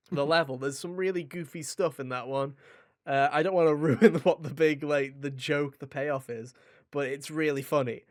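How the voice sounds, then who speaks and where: A man's voice: mid-range voice, no room sound. Casual male podcaster, very dry close sound. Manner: rambling, informal, jokey. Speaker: podcast co-host reviewing video games. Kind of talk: casual chat.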